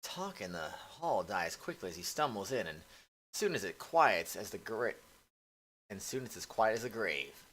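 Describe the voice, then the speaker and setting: A man streaming a game, reading its story text aloud in a halting way with self-corrections and casual asides. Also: fairly low pitch, very animated, fairly bright tone. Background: game music.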